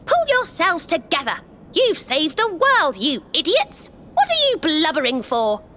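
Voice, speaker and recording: A brash, scolding little girl from a fantasy game. The voice is extremely high and sharp, leaping about wildly, bossy and fiery. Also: huge pitch swings, measured pace, slight background noise, exaggerated voice. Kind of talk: video game cutscene acting.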